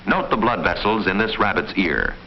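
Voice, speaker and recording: A man reading formal, measured, didactic scripted narration in the style of a mid-century educational film. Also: sits low, sing-song, vocal fry. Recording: muffled, noisy old film soundtrack.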